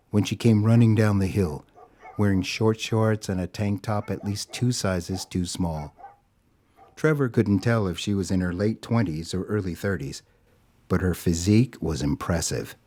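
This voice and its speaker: A man with a fairly low voice narrates a scripted story. His delivery is deliberate and dramatic, shaped for an audio drama. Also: mellow, a little hiss or hum.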